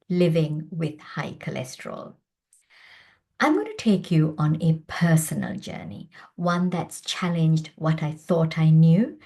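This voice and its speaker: Mellow, low-voiced woman in her fifties presenting. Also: breathy edge. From Sri Lanka. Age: 50s. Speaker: doctor and presenter. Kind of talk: prepared personal presentation.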